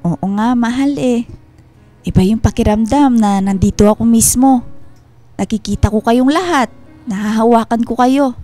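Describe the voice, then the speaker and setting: A woman's voice: a little high, normal speed, mellow, lightly acted. An actress playing a hurt, weary wife and mother in an emotional, earnest Filipino radio drama. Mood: tender, heartfelt. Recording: music bed under the voice.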